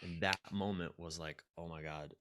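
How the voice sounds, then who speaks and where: Low-voiced man telling personal stories. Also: fairly even intonation, normal speed, fairly bright tone. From United States. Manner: candid, self-deprecating, dramatizes inner dialogue. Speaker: podcast guest, relationship coach. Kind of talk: personal storytelling in a conversational interview.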